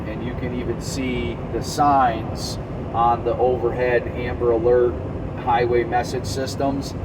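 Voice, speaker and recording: A man speaks slowly and conversationally, like a trucker sharing stories and advice with viewers. His delivery rambles in a casual vlog style. The mic sits away from him, and clear vehicle noise runs behind his voice. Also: mid-range voice. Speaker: truck driver and vlogger. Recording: distant mic with loud vehicle background noise.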